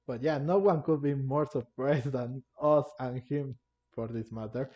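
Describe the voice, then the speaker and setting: A man giving casual gameplay commentary, halting and often repeating or rephrasing himself. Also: mid-range voice. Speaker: gamer narrating a playthrough. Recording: muffled lo-fi mic, game music behind. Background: video game music.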